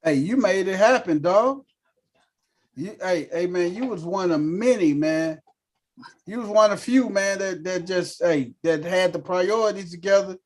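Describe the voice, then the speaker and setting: A man with a high voice chats on a podcast, animated and full of slang. He keeps dropping "man" into what he says and sounds excited to swap memories. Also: unhurried. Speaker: podcast co-host. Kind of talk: casual chat.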